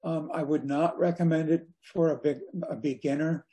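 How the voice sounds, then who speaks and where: An older man giving an informal slide talk over a video call as a guest speaker, in a halting, conversational way. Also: a little high, steady tone, dark tone, low-quality recording. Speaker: guest speaker at a radio club.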